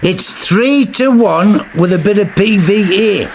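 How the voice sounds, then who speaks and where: An actor playing a blunt, grumpy older uncle. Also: male voice, high-pitched, unhurried, slight background noise. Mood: gruff. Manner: gruff, blunt, swears.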